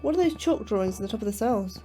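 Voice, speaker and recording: An actress playing an anxious, apologetic astrobiologist full of questions in a sci-fi horror audio drama. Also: female voice, medium pitch, lively intonation, fast, dark tone, faint music behind, close to an everyday voice. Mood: inquisitive, uneasy.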